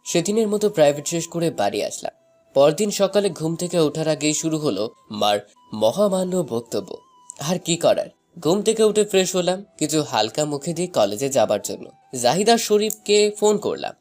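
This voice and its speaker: A young man narrating a scripted first-person love story in an expressive, dramatized way. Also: fairly high pitch, moderate pace. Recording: music bed under the voice. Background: background music.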